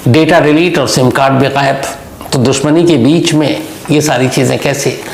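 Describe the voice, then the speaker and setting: A man with a gravelly voice telling a true-crime story as a monologue, deliberately, posing rhetorical questions to the listener. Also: mid-range voice. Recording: echoey room with faint background noise.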